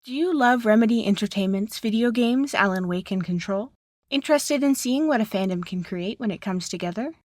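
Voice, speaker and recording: Casting: female ad announcer, medium pitch, scripted promo read, very dry studio-close sound. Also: lively intonation, brisk, a little mellow, no room sound. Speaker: sponsor announcer.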